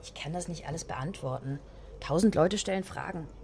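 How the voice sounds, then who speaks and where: A woman's voice: low voice, moderate pace, gravelly, dark tone, slight background noise. An actress playing an outspoken woman planning with friends, in naturalistic radio drama dialogue. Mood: brisk.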